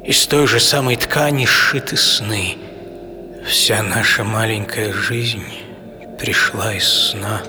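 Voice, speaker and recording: An actor voicing a weary old magician, reciting Shakespearean verse slowly in a breathy, sorrowful half-whisper. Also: male voice, fairly low pitch, long reverb tail, over music. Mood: sorrowful, dreamy.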